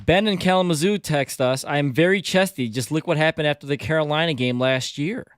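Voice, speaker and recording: A man's voice: fairly high pitch, brisk, no room sound. A man co-hosting a sports radio show, chatty and teasing.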